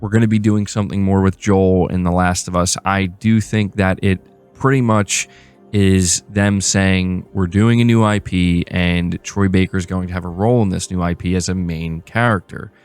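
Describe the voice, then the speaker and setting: A man with a low-pitched voice hosts gaming news. He moves between reading reports and offering casual commentary in a conversational way. Faint music plays behind his voice. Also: fairly even intonation, moderate pace, clean tone. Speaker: host of a gaming news channel.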